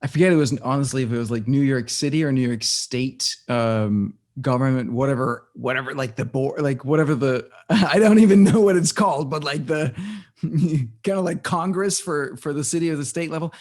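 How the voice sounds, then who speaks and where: A man talks as an interview guest, pitched in an ordinary middle range. He thinks out loud as he goes, restarting sentences and hunting for the right word before finishing his point. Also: normal speed. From Denmark. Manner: thinks aloud, with frequent restarts and searching for words. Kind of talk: casual conversational interview.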